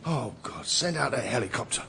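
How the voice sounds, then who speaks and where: Male police boss, creaky voice, terse, irritable, lively pitch, radio comedy. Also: fairly quick. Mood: exasperated. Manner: terse, exasperated, barking orders. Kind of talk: radio comedy drama acting.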